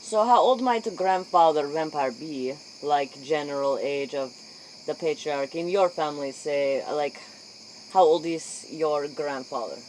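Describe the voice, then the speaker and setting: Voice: medium pitch, measured pace, darkish tone, lightly acted, low-quality recording. A performer playing an earnest, curious interviewer in a spoof late-night role play, speaking clipped broken English. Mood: probing, earnest. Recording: faint noise, chirping insects.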